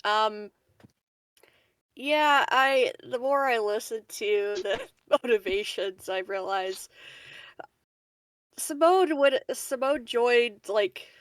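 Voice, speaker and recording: A woman's voice: fairly high pitch, slow pace, long pauses, smooth, no room sound. A woman playing a tabletop roleplaying game, chatting casually and improvising in a hesitant, giggly way.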